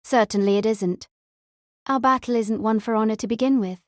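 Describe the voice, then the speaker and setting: A woman's voice: fairly high pitch, fairly even intonation, normal speed, dry recording, clean studio sound, lightly acted. A woman playing a stern, noble female knight spirit in an audio drama, formal and firm. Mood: proud, guarded.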